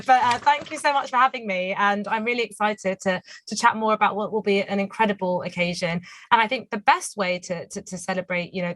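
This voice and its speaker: A hoarse young woman, a guest baker, chatting enthusiastically on the phone with many fillers. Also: mid-range voice, a bit bright, dry recording, poor audio. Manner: enthusiastic, lots of "you know" fillers. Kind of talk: phone interview. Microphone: phone line.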